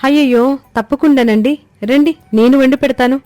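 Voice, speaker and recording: A woman voicing a kind, capable young village daughter-in-law in a cartoon story, brisk and reassuring. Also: high-pitched, steady tone, a little mellow, dry recording, soft background music, voice pushed up, close to an everyday voice. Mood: eager, warm.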